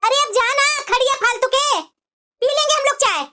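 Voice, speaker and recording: Casting: woman to play a very high-voiced cartoon mother-in-law, middle-aged, bitter, bossy, forever complaining. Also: moderate pace, bright, forceful tone. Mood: scolding, bossy. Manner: scolding, complaining, dramatic. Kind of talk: Hindi family cartoon dialogue.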